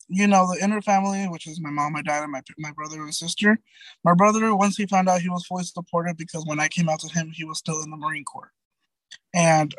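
A man's voice: no room sound, low-quality recording. A young man around twenty, a guest in a casual interview, talking in a chatty way full of "like" and "um" with many restarts. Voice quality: light high-pitched voice.

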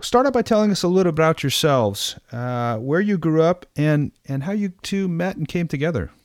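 A man hosting a radio interview show, reading smooth, scripted introductions. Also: a little high, expressive, moderate pace, no room sound, studio quality.

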